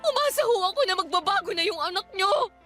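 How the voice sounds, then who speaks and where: A woman's voice: very high voice, lively intonation, fast, sharp tone, soft background music, heavily acted. A woman playing an aggrieved, combative young live-in partner and mother in a Filipino radio drama, protesting defiantly. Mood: bitter, outraged. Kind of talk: Tagalog radio drama acting.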